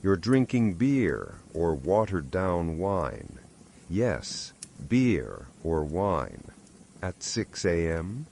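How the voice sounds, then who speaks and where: Male storyteller, low register, very animated intonation, wry scripted narration. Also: extremely slow, creaky, a little hiss or hum. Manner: wry, sardonic second-person storytelling. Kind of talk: scripted bedtime history narration.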